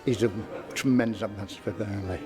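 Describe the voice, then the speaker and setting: Man with lowish voice in an informal interview, mayor, music really loud behind. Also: steady tone, fairly quick. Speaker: town mayor being interviewed.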